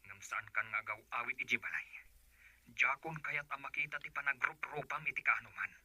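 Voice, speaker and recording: A man with an Ilocano Filipino accent playing a bitter, reproachful abandoned husband in a radio drama, husky and hushed. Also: mid-range voice, a little fast, dry recording.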